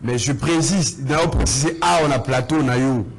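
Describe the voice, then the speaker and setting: A man's voice: mid-range voice, normal speed, a little hiss or hum. A man with a Congolese accent explaining his points emphatically as a guest in a political debate.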